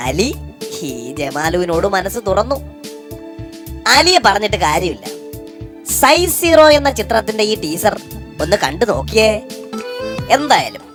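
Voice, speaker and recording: Slow, animated woman presenting gossip. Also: mid-range voice. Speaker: entertainment gossip show presenter. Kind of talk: scripted, playful celebrity gossip narration.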